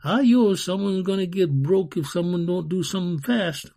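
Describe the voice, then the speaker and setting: A man's voice: sits high, moderate pace, creaky, mellow, pitched above the performer's own voice. A man voicing a scrappy, streetwise orphan boy in a storybook, with slangy, urgent talk.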